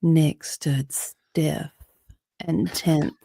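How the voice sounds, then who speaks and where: A woman narrating a dramatic romance reading in a breathy way, often dropping to a whisper. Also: low-pitched, fairly even intonation, very slow, mellow.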